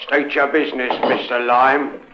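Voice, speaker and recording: An actor playing a menacing, boisterous dockside gang boss with salty, swaggering sailor talk in a 1950s radio drama. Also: male voice, medium pitch, level tone, normal speed, lightly acted. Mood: menacing, sly. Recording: muffled old radio recording.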